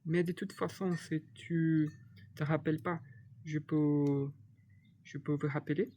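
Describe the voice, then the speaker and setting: A man's voice: a little high, little pitch movement, measured pace, dark tone, slight background noise. A young Brazilian man with a Brazilian Portuguese accent, whose first language is Portuguese, teaches a language lesson off the cuff with many hesitations and restarts. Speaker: Portuguese tutor.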